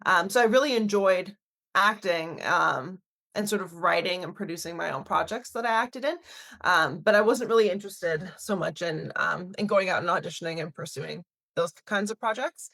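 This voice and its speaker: A woman's voice: fairly low pitch, moderate pace, creaky, a bit bright, no room sound. A woman in her thirties who grew up in Canada, casually answering interview questions with many fillers and restarts. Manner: chatty, many fillers and restarts, laughs lightly. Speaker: talent agency CEO.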